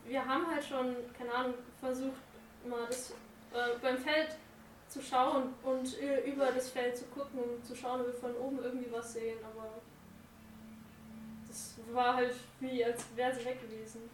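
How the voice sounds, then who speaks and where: A woman playing a tabletop game in character, talking in a halting way with many fillers. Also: sits high, level tone. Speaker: player. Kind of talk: in-character roleplay chat. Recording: roomy, distant mic, faint noise.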